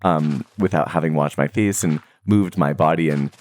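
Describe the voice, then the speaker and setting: Very deep-voiced man chatting casually. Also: moderate pace. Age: young adult. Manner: relaxed, rambling, many fillers and soft laughs. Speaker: Minecraft content creator. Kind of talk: casual talk to viewers.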